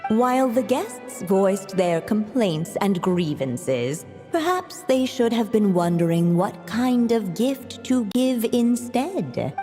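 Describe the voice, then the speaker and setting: Slow, reverberant storybook narrator. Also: female voice, medium pitch, big pitch swings, warm tone. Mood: wry. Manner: measured, formal, wry. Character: composed storybook narrator, gently teasing the guests. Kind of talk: scripted storybook narration in a game. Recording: long reverb over music.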